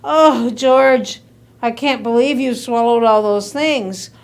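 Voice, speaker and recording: A woman's voice: a little high, takes it slowly, creaky. A woman voicing a worried, exasperated mother dog in a children's story, pleading and exclaiming dramatically. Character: worried, exasperated mother dog fussing over her son.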